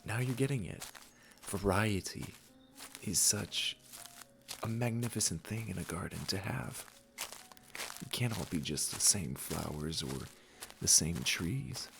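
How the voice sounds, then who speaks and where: A man playing a gentle, wise garden keeper in a comforting audio roleplay, speaking in a soothing, affectionate and encouraging way. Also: deep voice, animated, a little slow, vocal fry, long pauses, music in the background, full character voice. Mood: tender, encouraging.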